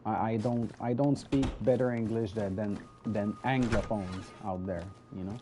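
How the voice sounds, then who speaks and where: A man's voice: lowish voice, fairly even intonation, normal speed, background noise. A man with a French Canadian accent, a native French speaker, giving rambling casual gameplay commentary and addressing his viewers directly. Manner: chatty and rambling, often restarting words, talks straight to viewers. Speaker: gaming video host.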